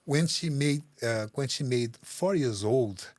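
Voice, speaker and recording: Brazilian-accented man, native Portuguese speaker, halting and searching for words, mid pitch, dry close mic. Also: expressive, darkish tone, no room sound. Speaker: guest. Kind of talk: interview answers.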